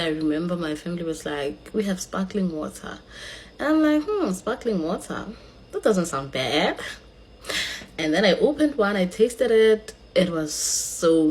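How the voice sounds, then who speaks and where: A young woman from South Africa talks casually to her camera, lively and drawn out on the words she stresses. Her voice sits a little low for a woman, with a faint hum of the room behind her. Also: low-quality recording. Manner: chatty, animated, drawn-out emphasis. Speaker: vlogger.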